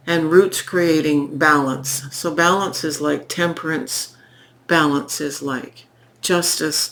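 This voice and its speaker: A woman giving a tarot reading in an unhurried, reflective way, her voice croaky from recent illness. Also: deep voice, slight background noise. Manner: slow, reflective, pausing, with fillers. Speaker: tarot reader. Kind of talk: unscripted spoken tarot reading.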